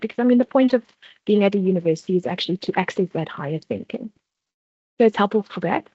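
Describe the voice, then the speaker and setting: A South African woman leads a university study workshop in a steady, explanatory way, pausing on "um" and restarting her phrases. The sound is dull and lo-fi, with a dry, close quality and no room around her voice. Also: medium pitch, dry recording. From South Africa, Free State. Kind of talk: online exam-preparation workshop talk.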